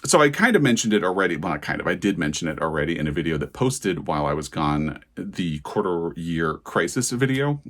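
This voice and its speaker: A man's voice: deep voice, big pitch swings, brisk, fairly bright tone. A man talking casually as a book vlogger, in a conversational, digressive way, often correcting himself as he goes. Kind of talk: casual chatty vlog to camera.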